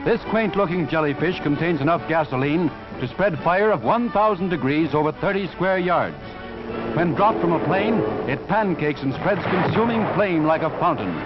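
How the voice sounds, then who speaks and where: A man's voice: fairly high pitch, lively intonation, normal speed, music in the background. An American man reading scripted 1940s newsreel narration in a declamatory announcer style. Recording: dull 1940s newsreel soundtrack.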